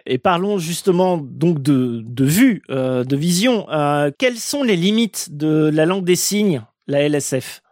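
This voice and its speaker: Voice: mid-range voice, lively intonation, unhurried, hardly any pauses, darkish tone, dry recording, studio quality. An interviewer asking structured questions and giving brief encouraging murmurs while listening.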